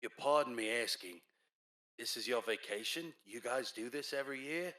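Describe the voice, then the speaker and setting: A man's voice: medium pitch, moderate pace, bright, forceful tone, lightly acted. A man playing a polite, reserved office investigator in an audio drama.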